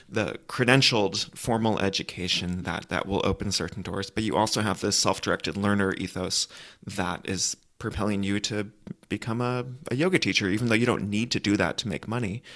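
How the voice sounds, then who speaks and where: A man's voice: on the low side, fairly even intonation, brisk, fairly bright tone. A man hosting a conversational interview, thoughtfully feeling his way through each idea as he speaks. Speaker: interviewer, host.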